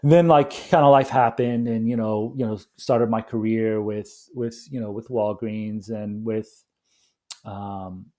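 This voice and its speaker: A man's voice: on the low side, mellow. A man, talking casually as a podcast guest, rambling with lots of fillers and restarts. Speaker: podcast guest, coffee company founder. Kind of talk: casual interview chat.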